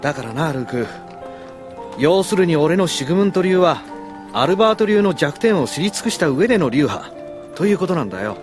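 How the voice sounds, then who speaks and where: A man's voice: a little high, sing-song, a little fast, over music. A man playing a friendly, easygoing young swordsman in an anime audio drama, speaking in a casual way. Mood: explaining. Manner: casual, explanatory.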